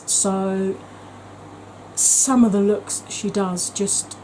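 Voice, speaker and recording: A woman's voice: mid-range voice, fairly even intonation, drawn-out words, dark tone, a little hiss or hum, poor audio. A woman talking in a chatty, gushing way as a beauty vlogger, with frequent ums. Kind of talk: casual vlog chat.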